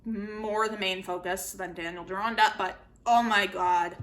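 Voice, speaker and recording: A woman book vlogger talking casually in an expressive, informal and emphatic way. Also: medium pitch, unhurried. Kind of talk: casual book chat to camera.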